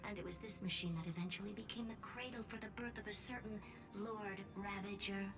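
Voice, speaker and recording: A woman's voice: medium pitch, natural voice. A woman playing a confident, playful genius scientist in a video game, breezy and self-satisfied. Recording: music behind, slightly distant.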